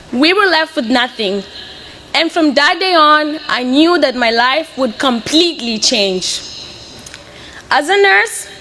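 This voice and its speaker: A young woman from Mozambique, a native Portuguese speaker, delivering a prepared graduation speech in an earnest, measured way. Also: high voice, a bit bright, a little hiss or hum. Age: young adult.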